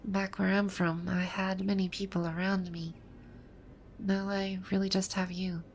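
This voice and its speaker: A woman playing a proud, teasing video game villainess come to life, speaking softly in an intimate ASMR roleplay. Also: mid-range voice, flat delivery, a little slow, a little hiss or hum, lightly acted. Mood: shy, vulnerable. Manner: smug, teasing, soft-spoken with breathy asides. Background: birdsong and outdoor ambience sound effects.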